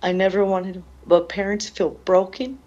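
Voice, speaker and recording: A woman's voice: medium pitch, level tone, normal speed, a little mellow, highs cut off. A grieving woman answering interview questions in an earnest, emotional, plain-spoken way, often repeating her phrases. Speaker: bereaved mother being interviewed.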